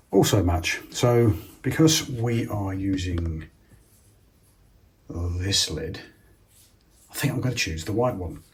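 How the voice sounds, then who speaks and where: A British man with a low-pitched voice presents a practical how-to. He explains each step casually as he works through it. His delivery is informal and unhurried, as though he is thinking aloud. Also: a little mellow. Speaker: presenter of a product installation tutorial. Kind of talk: casual step-by-step hands-on demonstration.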